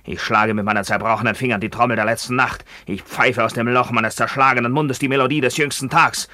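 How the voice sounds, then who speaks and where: A man's voice: fairly even intonation, brisk, sharp tone, dry recording, lightly acted. A man playing a bitter, maimed Roman back from captivity, declaiming with grim command in a radio drama. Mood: ominous, raging. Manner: declamatory, grim, swelling from low murmurs to fierce cries. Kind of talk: theatrical German radio drama acting.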